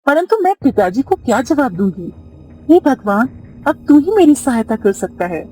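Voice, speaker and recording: A woman's voice: high-pitched, big pitch swings, moderate pace, mellow, slightly rough, full character voice, rough recording. A woman playing an earnest, kind but choosy young princess in a cartoon story. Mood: troubled, longing.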